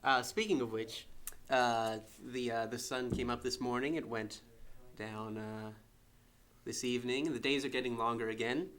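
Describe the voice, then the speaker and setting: A relaxed male co-host at a mid-range pitch for a man, chatting on the radio. He hesitates often and fills gaps with plenty of uh's. The tone stays easygoing and unhurried. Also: slight background noise. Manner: laid-back, hesitant with many fillers. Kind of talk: casual radio chat.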